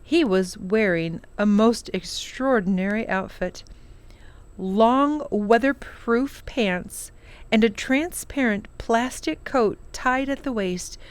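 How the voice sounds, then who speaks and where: Lady reading kids chapter book out loud, slow n expressive, storytime style. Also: fairly high pitch. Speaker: children's storytime reader, librarian. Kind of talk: reading a children's novel aloud.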